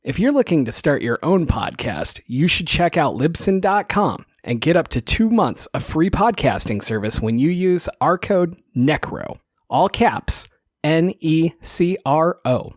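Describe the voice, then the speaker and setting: A man's voice: on the high side, very animated, unhurried, no echo, studio quality. A man co-hosting a podcast, reading ads and teasing his guests in a playful way. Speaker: podcast co-host. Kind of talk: casual podcast chat and ad reads.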